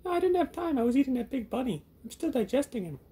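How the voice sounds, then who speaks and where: A man voicing a silly, greedy, self-important furry puppet with a naive, boastful, excitable manner. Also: very high voice, fast, a little hiss or hum, pitched above the performer's own voice. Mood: gleeful. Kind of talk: puppet show banter.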